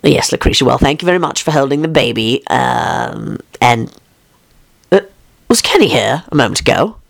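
A woman voicing a fussy, tired gentleman of the house who speaks in a hesitant, formal and breathy way. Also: a bass voice, sing-song, moderate pace, voice pushed down, full character voice. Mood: weary.

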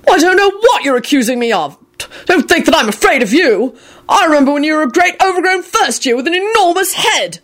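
Lady doing hot-tempered older dad, high blustery indignant voice, story reading. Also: sing-song, fairly quick, fairly bright tone. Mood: indignant, threatening.

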